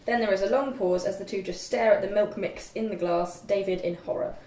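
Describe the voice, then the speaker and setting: A woman's voice: mid-range voice, fairly quick, darkish tone. Young woman narrating in an echoey room. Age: young adult. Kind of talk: scripted narration, reading screenplay directions aloud. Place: room at a group table read. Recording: distant echoey room, faint noise.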